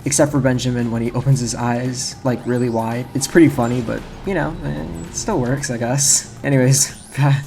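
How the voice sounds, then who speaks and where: A man's voice: medium pitch, steady tone, normal speed, creaky, hardly any pauses, warm tone. Casual male game commentator over music. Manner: informal, rambling, self-correcting. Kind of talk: casual video essay commentary.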